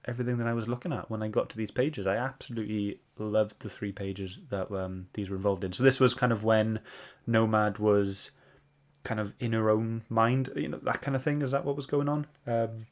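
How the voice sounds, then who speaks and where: A man's voice: fairly low pitch, steady tone, brisk. A man hosting a podcast, leading a casual book club discussion. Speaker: podcast host.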